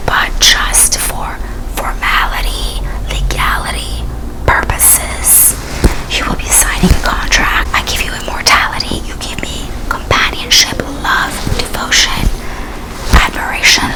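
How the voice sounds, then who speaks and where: A woman's voice: close to an everyday voice, rough recording. A woman playing a lonely, possessive vampire in an ASMR roleplay, whispering breathily and intimately to the listener in casual phrasing.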